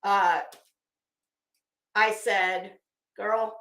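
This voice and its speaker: A woman's voice: medium pitch, steady tone, extremely slow, fairly bright tone. A woman hosting a casual livestream chat, talking to viewers in a gossipy way with chuckling, coy asides. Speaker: livestream host. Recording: roomy, echoey room sound.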